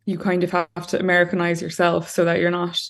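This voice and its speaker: Young irish woman on a video call, lowish pretty flat voice, chatty, lots of like and you know. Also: quick pace. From Ireland. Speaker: guest. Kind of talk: casual interview talk. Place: bright room at home.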